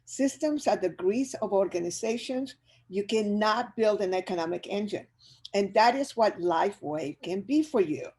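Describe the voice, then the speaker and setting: A woman's voice: medium pitch, lively intonation, moderate pace, darkish tone. An older woman from Chile with a Chilean Spanish accent, giving an upbeat motivational business talk on a video call and speaking directly to her audience.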